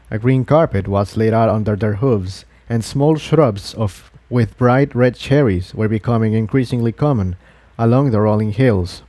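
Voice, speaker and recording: Male narrator, scripted fantasy story reading, very mellow dark tone, medium pitch, dry recording. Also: no echo. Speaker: fan fiction narrator.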